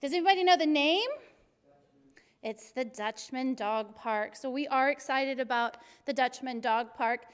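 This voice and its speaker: Middle-aged city recreation chief, a woman from Arizona with a very high, bright voice, passionate and jokey, in a big reverberant hall. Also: a little slow, clean tone. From Arizona, USA. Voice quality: very high-pitched voice. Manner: energetic, passionate and joking. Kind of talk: civic presentation to a class.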